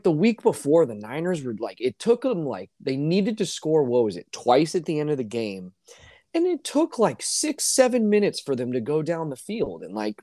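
A man co-hosting a casual sports podcast, hoarse and ranting with exasperation, his voice climbing when worked up. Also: a little high, darkish tone, dry recording, clean studio sound. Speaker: podcast co-host.

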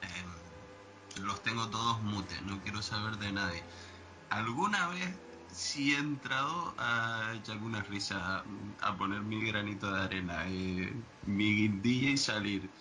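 A man chatting casually and haltingly as a podcast guest, in a bantering way. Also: fairly low pitch. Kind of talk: casual chat. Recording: clearly noisy background hiss or ambience.

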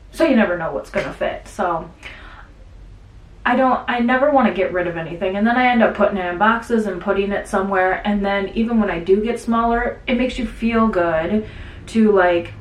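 A chatty woman co-hosting a casual podcast, rambling from one point to the next with a stuffy nose. She sits back from the mic, so her voice carries in a roomy space. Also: medium pitch. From central Wisconsin. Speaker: podcast co-host. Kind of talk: casual chat. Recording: roomy sound, mic at a distance.

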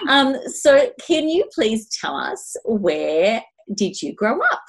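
A woman's voice: on the high side, very animated, very slow, creaky. A woman in her fifties with an Australian accent, co-hosting a podcast in a casual, conversational style. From Melbourne, Australia. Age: 50s. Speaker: podcast host.

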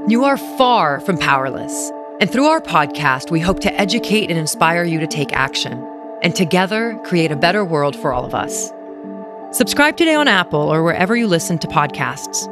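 A woman's voice: fairly low pitch, very animated, moderate pace. A woman podcast host narrating a scripted trailer. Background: music.

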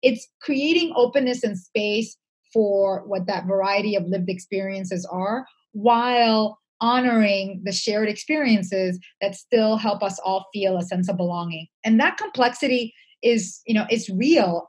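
A woman's voice: mid-range voice, measured pace, husky. A woman speaking as an interview guest, conversational, reflective and earnest, with emphasis on her points.

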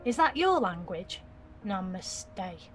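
A woman's voice: medium pitch, very animated, slow, a bit bright, faint music behind. An actress playing a sulky, defiant nineteen-year-old girl in an audio play, blunt and slangy. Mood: blunt, puzzled. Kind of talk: audio theatre acting.

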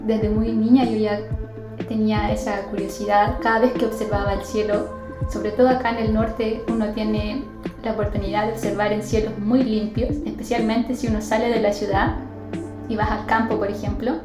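A woman from northern Chile with a Chilean accent, answering interview questions as a guest astronomer in a relaxed, thoughtful way. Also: mid-range voice, moderate pace. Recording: roomy sound with music bed.

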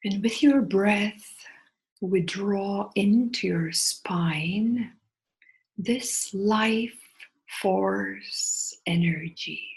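A woman leading a guided meditation in a soft, soothing, breathy voice that often drops toward a whisper, with long pauses between short phrases. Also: mid-range voice, rough recording.

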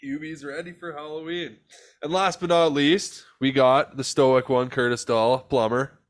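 A man's voice: fairly high pitch, fairly even intonation, measured pace, no echo. A man with a stuffed-up, congested voice runs a casual podcast chat. He talks in a loose, laid-back way, dropping fillers and the odd swear. The sound is dry with no room around it. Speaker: podcast host.

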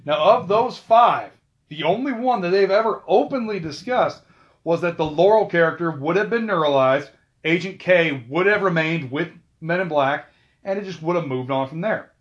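A man casually talking through film trivia, chatty, with asides and quick self-corrections. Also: fairly high pitch, expressive, muffled recording. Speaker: video host.

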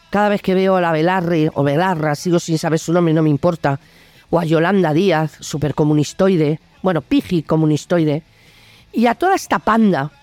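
A woman's voice: fairly low pitch, a little mellow, no echo. A woman with a Spanish accent from Spain delivers an opinionated political monologue in a blunt, indignant, colloquial way. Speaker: host of a political opinion video show.

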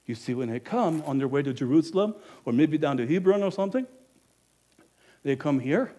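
A man playing a businesslike village innkeeper in an animated first-person monologue, emphatic and speaking straight to the audience. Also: a little high, fairly quick, natural voice. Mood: self-justifying. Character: businesslike male village innkeeper, proud then guilty.

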